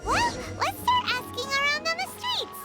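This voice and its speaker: Squeaky bubbly fairy sidekick, super high, voice jumps all over, game music behind. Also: female voice, very animated, moderate pace, pushed and bright, exaggerated voice. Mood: cheerful. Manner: bubbly, refers to herself by name. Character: tiny chirpy floating fairy companion, excitable. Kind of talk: video game voice acting.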